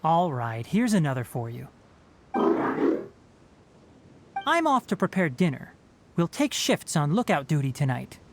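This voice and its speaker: Young forest ranger in a game, kinda wry n teacherly, voice moves up and down a lot. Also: male voice, fairly high pitch, big pitch swings, moderate pace, heavy background noise. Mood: relenting. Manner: instructive, matter-of-fact, slightly wry. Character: young male forest ranger, knowledgeable, dry, gently strict. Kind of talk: video game character acting.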